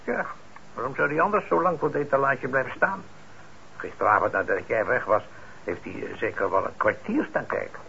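A man's voice: medium pitch, brisk, vocal fry. An actor playing a mild, patient old shopkeeper and father in a radio play, speaking hesitantly. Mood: gentle. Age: older. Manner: patient, hesitant, soft-hearted. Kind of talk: Dutch radio play acting. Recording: dull, noisy old radio recording.

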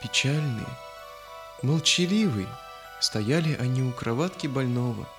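A man narrating a scripted children's audio story in an expressive, dramatic manner. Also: mid-range voice, unhurried. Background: background music.

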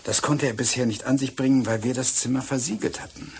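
An actor playing a shrewd, secretive police inspector in a German radio crime drama, speaking with measured authority. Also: male voice, mid-range voice, breathy edge. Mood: hushed, confiding.

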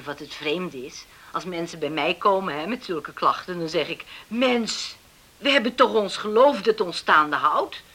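Bright-voiced, worried farm mother. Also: on the low side, full character voice. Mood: doubtful, hopeful. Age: middle-aged. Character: worried widowed farm mother dreaming of settled married sons. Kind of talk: Dutch radio drama acting.

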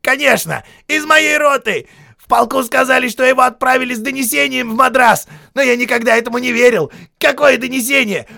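A man voicing a foul-mouthed, groveling army sergeant who screams and pleads in a shrill, hoarse voice, in an audiobook. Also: fairly quick, bright, forceful tone, heavily acted. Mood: insistent. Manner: screaming, pleading, spitting insults.

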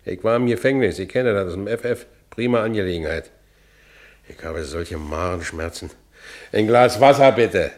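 A man's voice: low voice, steady tone, moderate pace. A man playing a down-and-out middle-aged ex-convict in a radio drama, brooding and defiant, muttering to himself with sudden outbursts. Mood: agitated, then suffering. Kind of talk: radio drama acting, first-person narration.

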